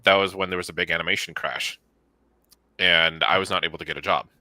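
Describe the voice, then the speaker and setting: A man's voice: low-pitched, steady tone, quick pace, bright, forceful tone, no room sound. A man in his thirties chatting casually in an interview as a studio co-founder, with an informal, rambling, self-effacing way of talking. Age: 30s.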